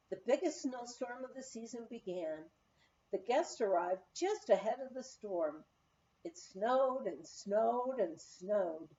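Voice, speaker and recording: A woman reading a humorous memoir aloud in an expressive, anecdotal storytelling manner. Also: on the high side, dull audio. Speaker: volunteer audiobook reader. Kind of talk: scripted narration of a humorous memoir.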